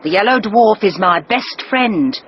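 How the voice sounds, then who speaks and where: A woman's voice: mid-range voice, expressive, unhurried, dull audio, slight background noise, lightly acted. A woman playing a vain, jealous older desert fairy in a fairy-tale audio drama, coquettish and theatrical as she probes. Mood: jealous, cold.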